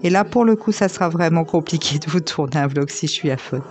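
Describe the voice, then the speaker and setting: A slightly hoarse woman in her thirties, chatting casually with lots of fillers as she works on a diamond painting at home. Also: deep voice, quick pace, a little mellow. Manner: chatty, rambling, many fillers. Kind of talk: casual chat while crafting.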